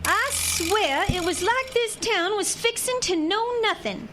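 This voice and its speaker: A feisty young Southern bride played by a woman with a high voice and a proud, teasing streak. She talks in folksy turns of phrase, in radio-drama style over faint background noise. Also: lively intonation, unhurried. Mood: exasperated.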